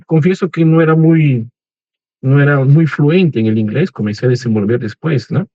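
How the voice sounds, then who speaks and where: A man in his forties from Lima with a Peruvian accent and some Portuguese-tinged wording. He is a professor giving an unhurried, conversational webinar talk, tagging his sentences with "¿no?" and "¿eh?". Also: mid-range voice, mellow, dry recording. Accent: Peruvian Spanish with Portuguese-tinged wording. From Peru, Lima. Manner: unhurried and conversational, with frequent "¿no?" and "¿eh?" tags. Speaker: professor, guest speaker. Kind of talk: webinar presentation. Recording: slightly muffled online call audio.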